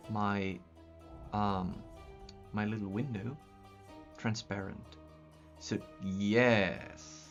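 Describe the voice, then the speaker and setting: A young man from Austria, a native German speaker with an Austrian accent, chatting on a live stream while building a map. He thinks aloud with many ums and restarts. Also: on the low side. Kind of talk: casual live-stream chat. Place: small room at a desk, indoors. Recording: slightly dull sound, faint music.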